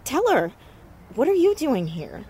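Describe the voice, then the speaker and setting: A woman playing a determined, defiant teenage girl in a naturalistic audio drama, brisk and dryly sarcastic. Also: high-pitched, huge pitch swings, mellow, husky, heavily acted. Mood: dry, guarded.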